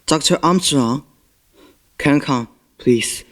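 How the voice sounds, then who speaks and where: A man's voice: a little high, slow pace, a bit bright. A young man in an amateur stage play, acting a respectful but defensive household butler. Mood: anxious, respectful. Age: young adult. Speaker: student stage actor.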